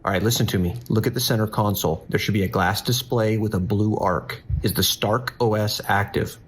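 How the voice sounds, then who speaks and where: An actor playing a steady, weary police dispatcher in an audio drama, calm, measured and instructive. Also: male voice, fairly low pitch, slightly rough. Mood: firm, steadying. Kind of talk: audio drama acting with monologue.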